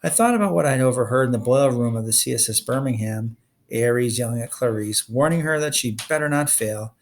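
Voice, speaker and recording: Creaky-voiced man reading a story aloud. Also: medium pitch, fairly quick. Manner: expressive reader who acts out the dialogue. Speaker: read-along narrator.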